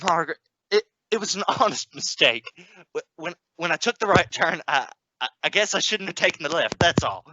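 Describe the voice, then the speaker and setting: A woman's voice: fairly low pitch, animated, brisk, bright, forceful tone, no room sound. A woman playing an exasperated, stubborn family father in an old-time radio sitcom, blustering, sarcastic and prone to stammer when cornered. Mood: flustered, defensive. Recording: muffled recording with clear noise and vehicle sounds.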